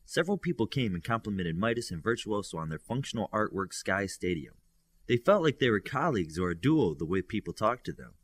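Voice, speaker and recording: A man's voice: fairly low pitch, sing-song, brisk. A man reading scripted narration from a superhero novel as an audiobook reader.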